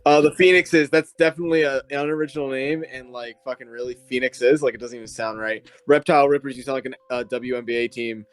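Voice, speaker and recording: A man's voice: medium pitch, steady tone, fast talker, hardly any pauses, clear, resonant tone. Brash young man trash-talking. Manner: brash trash talk, mock boasting. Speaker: team member, guest. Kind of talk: crude casual banter.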